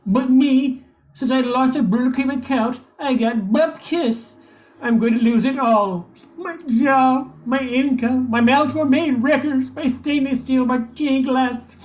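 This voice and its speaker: A woman's voice: high-pitched, darkish tone. An older woman playing a nervous, self-pitying, down-on-his-luck man who drinks, in a 1940s-style radio comedy.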